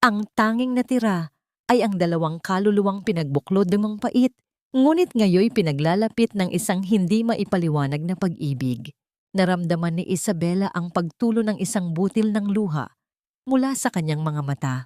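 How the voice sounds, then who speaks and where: A woman's voice: medium pitch, lively intonation, moderate pace, darkish tone, no room sound, studio quality. A woman with a Filipino accent reading a scripted dramatic love story in a solemn, melodramatic manner.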